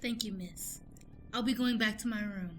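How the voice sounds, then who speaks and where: A woman playing a stressed college-student intern in an improvised radio play, halting, informal and a bit defensive. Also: mid-range voice, a little hiss or hum, close to an everyday voice. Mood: guarded.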